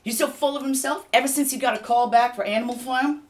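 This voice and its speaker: A woman's voice: high-pitched, fast, lightly acted. A woman playing a jealous big bad wolf actor who complains sardonically in a comedy mock interview. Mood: bitter, sardonic.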